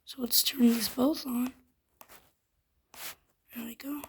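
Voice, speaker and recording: A woman with a fairly high voice gives a casual, hands-on product demonstration. She explains each step informally, as a reviewer showing how things work. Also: steady tone, slow, breathy edge.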